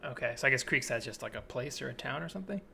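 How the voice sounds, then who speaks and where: A man's voice: medium pitch, lively intonation, racing, gravelly, bright, forceful tone, slight background noise. An American man co-hosting a comedy podcast, chatting casually and riffing as he reads out short posts. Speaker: podcast co-host and improviser. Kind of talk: casual chat, reading and riffing on classified posts. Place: remote podcast recording.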